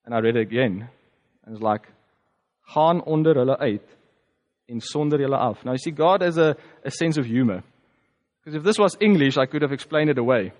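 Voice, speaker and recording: A man with a South African accent, a native Afrikaans speaker, giving a reflective personal testimony as a preacher, conversational and halting with fillers. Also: medium pitch, animated, darkish tone, long reverb tail.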